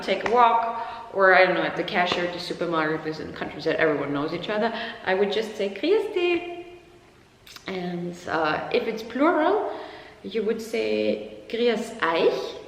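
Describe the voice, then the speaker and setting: A young woman from Upper Austria, with an Austrian accent, casually explaining everyday greetings in a relaxed video lesson, laughing lightly at her own jokes. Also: medium pitch. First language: German. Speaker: language teacher on video. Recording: echoey room with long reverb.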